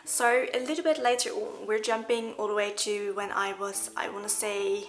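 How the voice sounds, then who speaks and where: A young woman from the Netherlands, a native Dutch speaker with a Dutch accent, chatting earnestly to camera in a vlog with soft laughs. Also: mid-range voice, steady tone, normal speed. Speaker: vlogger. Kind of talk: casual talk to camera. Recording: background music.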